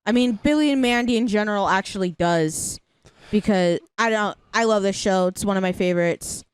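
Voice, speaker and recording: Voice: medium pitch, moderate pace, clean tone, no room sound. A podcast co-host chatting informally, with slangy phrasing and frequent casual swearing. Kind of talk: casual chat reviewing cartoon episodes.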